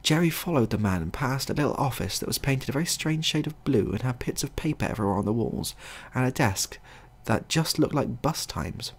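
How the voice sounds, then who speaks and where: A man narrating a scripted comic short story as a storyteller, in a husky, gravelly voice. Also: mid-range voice, lively intonation, brisk, hardly any pauses.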